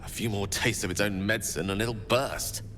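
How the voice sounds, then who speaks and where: Deep, bright-edged male adventurer by an actor. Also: fairly even intonation, normal speed, faint music behind, close to an everyday voice. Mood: appalled. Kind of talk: video game acting.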